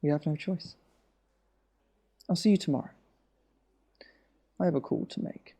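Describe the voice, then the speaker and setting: A man playing a controlled, ruthless government minister in an audio drama, with a measured, coaxing way of talking. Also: fairly high pitch, mellow. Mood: cold resolve. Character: calm, ruthless male government minister hiding a conspiracy.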